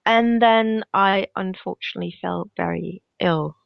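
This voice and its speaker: A woman's voice: medium pitch, unhurried, vocal fry, no room sound, muffled recording. A woman from Denmark with a Danish accent and a cold, chatting as an interview guest. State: has a cold. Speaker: guest, knitwear designer. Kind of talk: interview answers.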